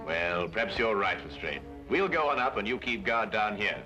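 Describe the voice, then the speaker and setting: A man plays an urbane British gentleman detective in an old-time drama. His delivery is animated, brisk and self-assured, always polite. Loud music runs behind the voice. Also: on the low side, highs cut off, close to an everyday voice. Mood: wry.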